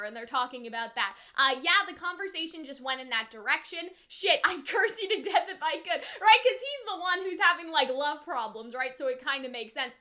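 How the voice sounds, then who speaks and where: Young woman, very high-pitched, bright, giggly, rambling, casual YouTube reaction commentary. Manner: giggly, rambling, animated, filler-heavy tangents. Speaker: YouTuber reacting to an anime episode.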